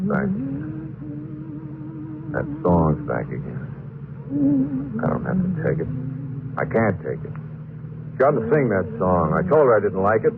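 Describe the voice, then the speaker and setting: An actor playing a guilt-haunted, nervous murderer in an old-time radio horror drama, speaking in halting, stammering phrases. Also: male voice, sits low, gravelly, exaggerated voice. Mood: haunted, brooding. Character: guilt-haunted, jittery murderer narrating his crime. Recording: noisy, muffled old radio broadcast.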